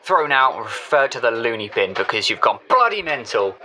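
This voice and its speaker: A man's voice: medium pitch, very animated, fairly quick, pushed and bright. A male actor with a British accent playing a selfish, sarcastic, berating partner in an audio drama. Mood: scornful. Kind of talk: audio drama dialogue acting.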